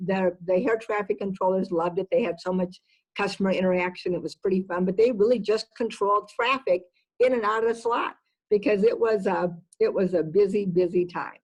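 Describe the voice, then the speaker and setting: A woman's voice: medium pitch, fairly quick, poor audio. A woman executive giving conversational interview answers, emphatic and repeating words for stress. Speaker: business executive being interviewed.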